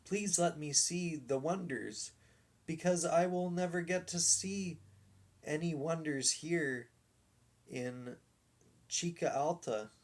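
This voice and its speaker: Storybook big dog, honest and beseeching, pitched up, unhurried, acted by a male reader. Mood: pleading. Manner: earnest and beseeching. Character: eager, honest, pleading big talking dog. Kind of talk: children's storybook read aloud.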